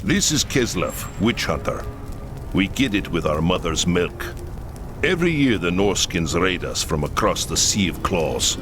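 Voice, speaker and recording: A grave, deep-voiced camp leader. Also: male voice, big pitch swings, a little slow. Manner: earnest and solemn, pleading at times. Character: grave, proud Kislevite camp leader, middle-aged man. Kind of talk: game cutscene voice acting. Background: orchestral game music.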